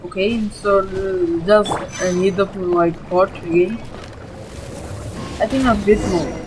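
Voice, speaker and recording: A woman's voice: mid-range voice, fairly even intonation, takes it slowly, noisy background. A woman hosting a gaming video with casual, informal, rambling gameplay commentary, often saying "like". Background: game music.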